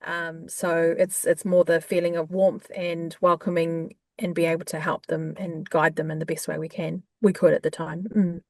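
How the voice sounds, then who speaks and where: A woman with a New Zealand accent, a guest in an interview, talking in a warm, chatty way with frequent fillers. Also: lowish voice, level tone, moderate pace, few pauses, dry recording. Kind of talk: conversational interview.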